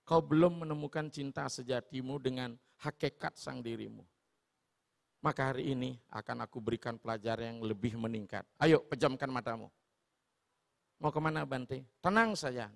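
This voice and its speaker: A man voicing a calm, wise spiritual teacher who speaks low and instructively. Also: brisk, vocal fry, close to an everyday voice. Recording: long hall reverb.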